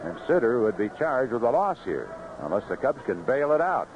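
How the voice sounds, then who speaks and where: A man's voice: medium pitch, very animated, normal speed. Man calling baseball on noisy vintage radio. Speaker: baseball play-by-play announcer. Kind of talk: live radio baseball commentary. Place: outdoor ballpark broadcast booth. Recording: dull, noisy vintage 1970s radio broadcast.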